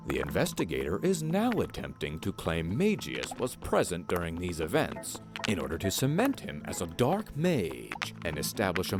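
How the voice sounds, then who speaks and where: Man playing a deep, gravelly, solemn fantasy documentary narrator. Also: full character voice. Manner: solemn, deadpan. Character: grave male true-crime narrator in a fantasy world.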